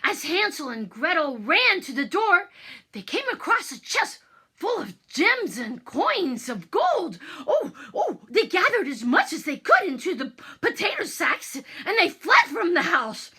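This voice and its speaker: A woman narrating a children's bedtime story in a theatrical, expressive manner, as a storyteller. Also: extremely high pitch, unhurried. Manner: theatrical, expressive, with exclamations and asides.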